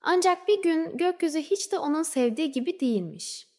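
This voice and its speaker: A woman narrating a scripted children's fairy tale in a measured storybook way, letting phrases trail off softly. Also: very high voice, lively intonation. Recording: long reverberant hall-like echo.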